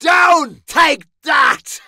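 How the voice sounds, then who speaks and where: A battle-mad Irish soldier of fortune from a medieval video game. He is cocky and foul-mouthed, with a bright, forceful, gravelly edge and a slow, drawn-out delivery. The sound is close and dry. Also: very high voice, no echo, a faint crowd, heavily acted. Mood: fierce, rallying. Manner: shouting, with pained cries and grunts.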